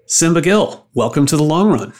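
A man hosting a podcast, chatty and animated, laughing easily as he tells stories and asks questions. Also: a little high, normal speed, faint music behind. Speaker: podcast host. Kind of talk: podcast interview.

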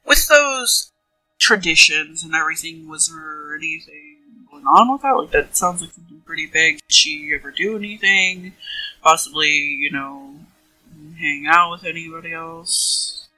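A woman's voice: sits low, a little slow, pushed and bright, no room sound, exaggerated voice, rough recording. A woman playing an earnest police detective in a role-play drama, asking probing questions politely and rephrasing them tentatively as she goes. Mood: tentative, curious. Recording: music under the voice.

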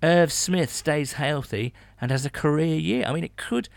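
A man's voice: a little high, expressive, normal speed, gravelly, no room sound. A British man hosting a sports podcast, chatting casually with rambling asides and reading out listener messages. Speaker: podcast host.

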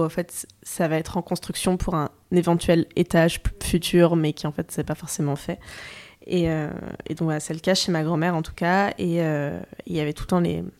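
Young female artist from France; unhurried, reflective, thinks aloud; low register. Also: creaky, a little mellow. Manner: reflective, many fillers and restarts, thinking aloud. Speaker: photographer and artist, interview guest. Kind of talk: casual interview conversation.

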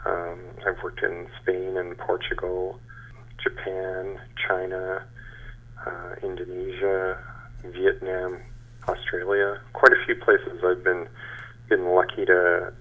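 A man in his sixties giving halting, measured answers as an interview guest. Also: low voice, level tone. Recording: dull band-limited phone-like sound.

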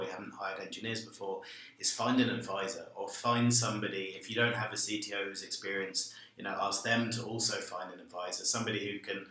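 A man talks as a panel guest, rambling along with plenty of fillers. His voice sits a bit low but comes across bright and forceful. The room is heavily echoey. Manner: rambling, repeats "I mean" and "um". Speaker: panel guest, tech hiring. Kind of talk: panel discussion.

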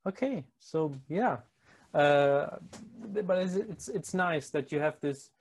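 A man's voice: on the high side, animated, takes it slowly. A man with a German accent, speaking English as a second language, interviewing a guest hesitantly, with many restarts and repeated words. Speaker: podcast host, interviewer. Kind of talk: casual interview chat.

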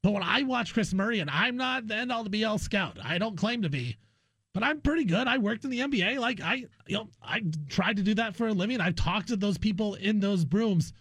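A man's voice: high-pitched, lively intonation, a little fast, a bit bright, breathy edge, dry recording. An American man co-hosting a sports talk show, giving his opinions casually and emphatically. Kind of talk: casual sports radio discussion.

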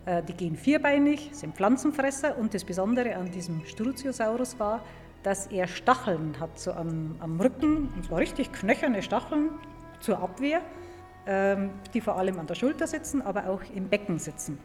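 A woman explaining science to a young listener in a clear, didactic way, stressing key words by repeating them. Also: medium pitch, animated, normal speed, a little mellow, faint music behind. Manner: clear, didactic, emphatic repetition. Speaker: museum paleontologist. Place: large echoing indoor museum hall. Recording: reverberant hall with background noise.

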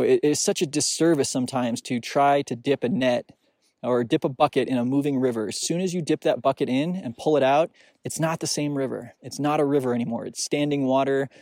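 A man's voice: medium pitch, darkish tone, no echo, clean studio sound. A man hosting his own podcast, talking through his thoughts in a reflective solo monologue with a soothing voice, often stopping to restart, recorded outdoors on a mountain hike. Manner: halting, searching, with many restarts and fillers. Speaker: podcast host.

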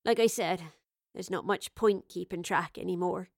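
A woman's voice: on the low side, moderate pace, gravelly, dry recording. Storybook role: female narrator as kindly, weary old woman, colloquial and wistful, dry close sound. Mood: gentle, resigned. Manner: homely, colloquial, wistful speech. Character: Evie, weary kindly working-class old woman of far future.

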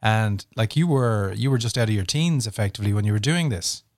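A man's voice: fairly low pitch, animated, brisk, a little mellow, no room sound, clean studio sound. A man interviewing a guest, with long, rambling questions full of fillers.

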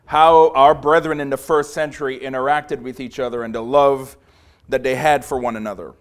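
A man in his forties from Trinidad delivers a sermon in a small room. His voice is big and passionate, and he speaks with frequent pauses and fillers as he builds up steam. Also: medium pitch, steady tone, smooth. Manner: passionate, grows louder as he preaches. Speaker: visiting preacher.

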